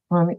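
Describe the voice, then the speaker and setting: A woman guest on a podcast, answering in a halting way and searching for words with many fillers. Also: dull audio. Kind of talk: conversational interview answers.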